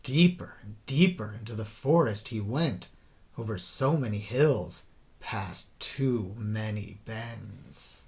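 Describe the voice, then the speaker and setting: A man narrating a rhyming children's story in a theatrical, deliberate way, drawing out the ends of phrases. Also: lowish voice, creaky. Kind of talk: dramatic scripted children's story narration in rhyme.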